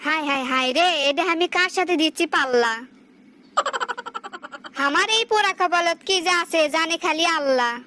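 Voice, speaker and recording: An extremely high, piercingly bright cartoon wife, acted out by a woman, long-suffering and quick to nag. She has a northern Bangladeshi accent. She complains in sing-song rhymes. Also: a little hiss or hum. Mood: wailing self-pity. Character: feisty, long-suffering, nagging village wife bickering in rhyme. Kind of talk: comic dialect cartoon dialogue.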